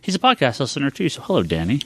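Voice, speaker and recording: A man's voice: mid-range voice, wildly swooping pitch, racing, a bit bright, slightly rough, no echo. A middle-aged man chatting casually and giving his opinions as a podcast co-host. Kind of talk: casual panel chat about comic books.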